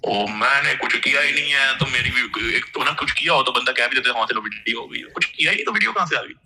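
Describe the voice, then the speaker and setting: A man's voice: medium pitch, expressive, quick pace, sharp tone. A man chatting casually on a phone call, protesting in a nonchalant, repetitive way. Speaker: prank call recipient. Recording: noisy phone call played into studio.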